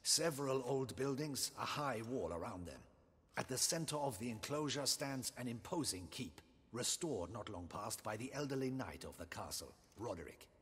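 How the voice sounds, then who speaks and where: An actor playing a proud military guard captain in a video game, stiff, precise and formal. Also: male voice, medium pitch, animated, normal speed, fairly bright tone, husky, big-room sound. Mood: briskly formal. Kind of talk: video game cutscene dialogue.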